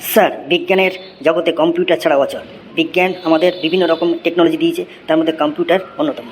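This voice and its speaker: A man's voice: a little high, steady tone, fast, slight background noise, close to an everyday voice. A man voicing an eager schoolboy in a comedy cartoon, reciting essays by rote. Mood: rote, insistent.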